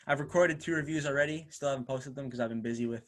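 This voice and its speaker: A man's voice: mid-range voice, quick pace. A young man hosting a casual podcast on a call, hesitant, with lots of "like" filler words. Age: young adult. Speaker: podcast host. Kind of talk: casual chat.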